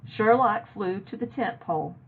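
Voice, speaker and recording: A woman reading a children's novel aloud in an expressive narrating style, like a teacher reading to a class. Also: mid-range voice, normal speed, a little hiss or hum. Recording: narrow, phone-like lo-fi audio.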